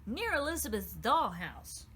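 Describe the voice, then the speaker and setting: A woman voicing an excitable little pixie girl who shouts in a shrill, excited way. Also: unhurried, slightly rough, heavily acted.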